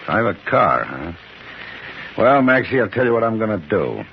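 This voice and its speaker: Tough gangland boss, slangy, commanding, swinging intonation, muffled old radio recording. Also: male voice, fairly low pitch, big pitch swings, normal speed, vocal fry, slight background noise. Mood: gruff, commanding. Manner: slangy, bossy tough-guy talk. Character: tough, blustering, stocky big-shot New York mob boss. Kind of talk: 1950s radio drama acting.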